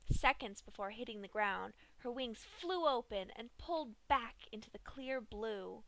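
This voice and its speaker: A woman narrating a fan-fiction story aloud in an expressive reading. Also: on the high side, unhurried, a little hiss or hum, low-quality recording.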